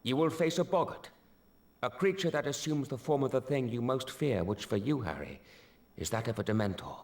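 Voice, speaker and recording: An actor playing a kindly, earnest wizard professor in a video game, with a measured, instructive manner. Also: male voice, medium pitch, expressive, darkish tone, natural voice. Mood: calm, instructive. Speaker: teacher. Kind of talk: video game cutscene acting.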